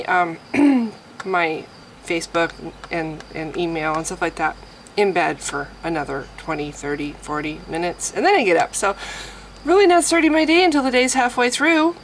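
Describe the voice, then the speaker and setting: A woman vlogging in a casual, rambling way, chatty and self-deprecating, who keeps clearing a scratchy throat. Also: lowish voice. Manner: chatty, self-deprecating, frequent laughs and restarts. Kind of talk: casual rambling chat to camera.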